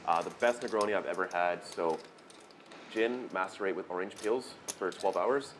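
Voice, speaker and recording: A man with a Canadian accent chatting casually at a poker table, relaxed and full of fillers. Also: low voice, moderate pace, a little hiss or hum. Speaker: poker player.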